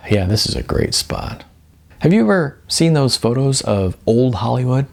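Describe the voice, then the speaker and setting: A man's voice: medium pitch, very animated, moderate pace, gravelly. A man voicing a contented, wistful, overweight male model in an audiobook, chatting casually.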